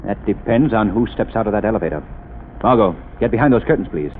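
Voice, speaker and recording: A man playing a calm, wealthy amateur sleuth in a 1930s radio drama, measured and commanding. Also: lowish voice, natural voice. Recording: tinny old radio broadcast with faint hiss.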